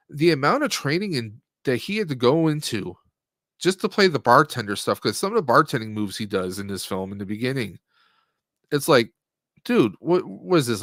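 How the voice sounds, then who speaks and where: A man's voice: on the high side, fairly bright tone, no echo, clean studio sound. A man hosting a live stream, giving a casual, rambling, jokey movie review.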